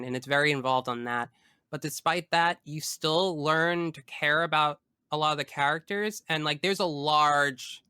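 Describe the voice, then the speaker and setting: A man's voice: mid-range voice, pushed and bright, smooth, no echo, studio quality. A man speaking as a podcast guest, chatting casually and effusively about video games with emphatic phrasing.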